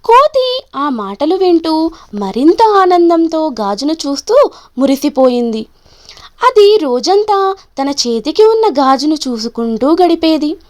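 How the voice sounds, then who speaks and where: A woman narrating a scripted children's story in an expressive, measured storytelling manner. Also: high voice.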